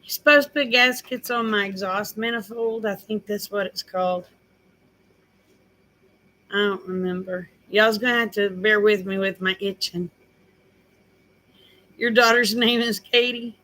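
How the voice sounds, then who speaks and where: Slow, bright-voiced older woman. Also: medium pitch, steady tone, low-quality recording. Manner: rambling, folksy. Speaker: vlogger. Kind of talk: casual livestream chat.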